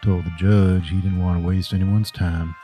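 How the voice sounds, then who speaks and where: A brusque prison warden acted by a man, deep and dark in tone, with music underneath. He talks with dry official authority, as in a horror radio play. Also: flat delivery, normal speed, close to an everyday voice. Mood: businesslike. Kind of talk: horror audio drama acting.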